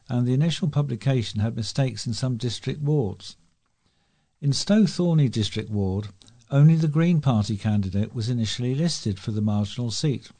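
A man reads local news from a script as a volunteer reader. His voice is soft-edged and mellow, at an average pitch for a man. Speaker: volunteer newsreader. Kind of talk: scripted local news reading for a talking newspaper.

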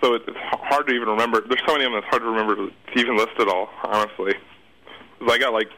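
A man's voice: medium pitch, steady tone, very fast, sharp tone, low-quality recording. A man answering casually as an interview guest, rambling off the cuff, repeating words and trailing off.

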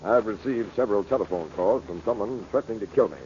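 Ator como americano rico e autoritário, voz grave. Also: male voice, lowish voice, expressive, quick pace, darkish tone, close to an everyday voice. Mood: imperious, smug. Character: wealthy, domineering, uneasy uncle under death threats. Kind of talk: 1940s radio drama acting. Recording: muffled, hissy old radio recording.

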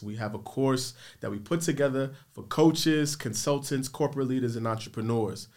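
Man hosting a chatty podcast. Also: mid-range voice. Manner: searching, restarting questions. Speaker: podcast host. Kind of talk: casual podcast conversation.

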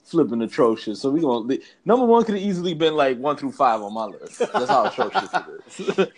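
A man's voice: on the high side, very animated, a little fast, vocal fry, husky. A man co-hosting a podcast in casual chat, talking in a slangy, emphatic, rambling way. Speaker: podcast co-host. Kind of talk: casual chat ranking bad video game movies.

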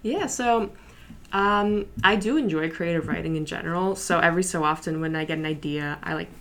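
A high school senior girl whose first language is Polish, chatting easily in an interview with many fillers and light laughs. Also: fairly quick, slight background noise. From New York City, USA. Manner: relaxed, chatty, frequent fillers and soft laughs. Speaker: interview guest, student. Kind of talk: casual interview.

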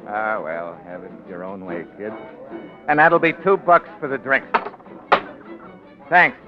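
A tough, sentimental American barkeep with a crooked past. His voice sits low and his talk is slangy. It comes through as a tinny 1940s radio play with faint music around it. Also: big pitch swings. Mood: resigned. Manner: slangy, gruff when crossed, halting when tender.

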